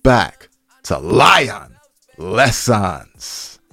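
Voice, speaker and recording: A man with a lowish voice that swings widely in pitch co-hosts a casual podcast. He banters playfully and repeats phrases for emphasis. The recording is close and dry. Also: big pitch swings, very slow, sharp tone, no room sound, soft background music. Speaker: podcast co-host.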